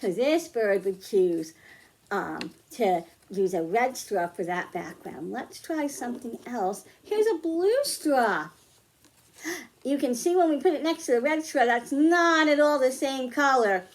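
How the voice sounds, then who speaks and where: A woman's voice: a little high, expressive, unhurried, slight background noise. A woman presenting a science storytime for young children, explaining simply and putting questions to her listeners. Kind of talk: storytime read-aloud and hands-on lesson for young children.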